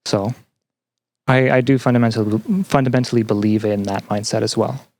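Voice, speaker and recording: A young man answering as a podcast guest in an earnest, conversational way, haltingly repeating phrases as he thinks. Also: lowish voice, steady tone, darkish tone. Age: young adult. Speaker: podcast guest, student and teaching assistant.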